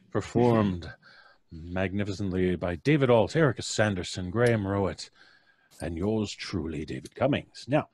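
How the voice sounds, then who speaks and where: A man's voice: sits low, animated, unhurried, a little mellow, slightly rough. A man hosting a live online show, talking informally to his audience. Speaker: host and performer.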